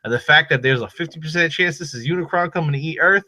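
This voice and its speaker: Animated Boston-accented podcast host. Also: male voice, fairly high pitch, fast talker. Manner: animated, profane, emphatic opinions. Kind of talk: casual chat about movies and toys.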